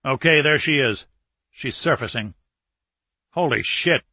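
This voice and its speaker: A man's voice: mid-range voice, a little slow, sharp tone, dry recording. A man voicing a tense submarine captain for an audiobook, giving urgent, clipped military commands. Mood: alert. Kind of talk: audiobook character acting.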